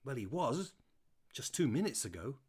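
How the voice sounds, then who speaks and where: A man voicing a playful, chatty terrier who tells his own story in an animated, mischievous manner, as audiobook narration. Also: mid-range voice, normal speed, husky, pitched above the performer's own voice. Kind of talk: first-person audiobook narration.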